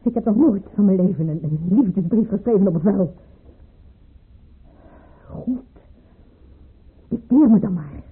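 Casting: proud aristocratic mistress in a tsarist radio play, wary and theatrical, breathy husky edge, tinny muffled old recording. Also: female voice, medium pitch, lively intonation, a little slow, long pauses. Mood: haughty, questioning. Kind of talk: Dutch radio drama acting.

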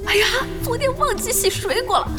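A woman's voice: very high voice, animated, brisk, music in the background. An actress playing a spirited, chatty, blunt young woman who runs a shop. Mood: flustered, excited. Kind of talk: Chinese audio drama acting.

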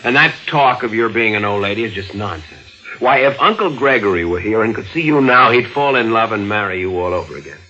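An actor playing a charming young nephew in a 1940s radio drama, gentle and reassuring. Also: male voice, fairly low pitch, animated, fairly quick, few pauses. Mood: soothing. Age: young adult. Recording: dull old radio broadcast.

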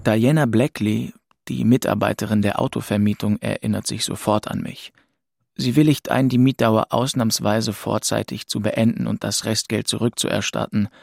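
A man's voice: fairly low pitch, steady tone, moderate pace, gravelly, darkish tone, no echo, clean studio sound. An actor playing a weary, bitter young man who narrates his own story in an audio drama. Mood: subdued narration. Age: young adult.

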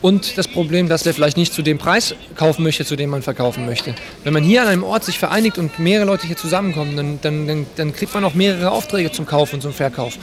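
A man's voice: on the high side, fast talker, hardly any pauses. A man giving a spontaneous, repetitive explanation from the visitors' gallery of a trading hall. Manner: explanatory, repeats himself. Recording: crowd murmur behind voice.

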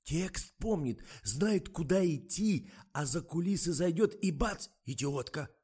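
A man voicing an old theater guard who grumbles and shouts in exasperation. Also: fairly high pitch, animated, a little slow, husky, pitched above the performer's own voice. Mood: grumbling.

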